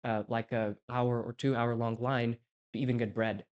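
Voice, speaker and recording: A young man in his twenties, raised in Poland, speaks in casual podcast chat. He reflects as he goes, restarting sentences while he thinks aloud. His pitch sits in an ordinary middle range. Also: level tone, normal speed, lo-fi sound. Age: 20s. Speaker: podcast co-host, interviewee.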